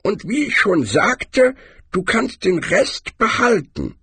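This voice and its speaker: A man voicing an elderly, spry barbarian hero in a storybook, slow and condescendingly kindly, with a creaky voice. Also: high-pitched, very animated, fairly bright tone, dry recording, voice pushed up, exaggerated voice. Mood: matter-of-fact. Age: older. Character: greise, spry old barbarian hero, calm and mockingly friendly.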